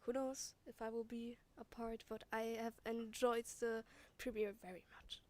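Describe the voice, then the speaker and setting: Female guest, fairly high voice, informal unscripted chat. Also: flat delivery, moderate pace, darkish tone. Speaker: voice actor guest. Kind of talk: casual livestream chat.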